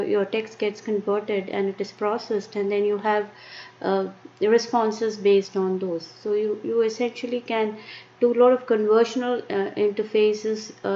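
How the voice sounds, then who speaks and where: A woman with an Indian accent presenting a live-streamed tech lesson, talking haltingly with many fillers and restarts. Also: mid-range voice, dark tone. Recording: muffled lo-fi stream with faint noise.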